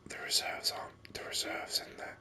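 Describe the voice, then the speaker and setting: A man speaks entirely in a breathy whisper, crisp and very bright in tone. He gives ASMR commentary on a video game, musing aloud without hurry, close to the microphone. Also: moderate pace.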